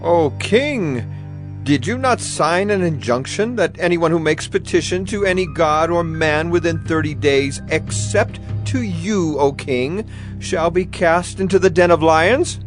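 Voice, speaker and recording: A man plays a scheming royal official in a scripture drama. His voice sits very high for a man, and he declaims formally and insistently over faint music. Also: lively intonation, unhurried. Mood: insistent, accusing. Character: scheming male court official petitioning his king. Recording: music bed behind the voice.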